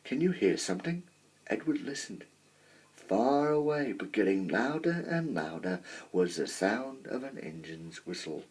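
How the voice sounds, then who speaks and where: A man narrating a children's story aloud in an expressive storytelling style. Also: on the low side, a little slow, breathy edge. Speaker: amateur story reader.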